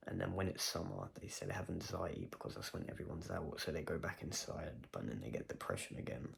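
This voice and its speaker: A man's voice: low-pitched, big pitch swings, fast talker, gravelly. A man vlogging a personal video diary, talking in a reflective, rambling way and questioning himself as he goes.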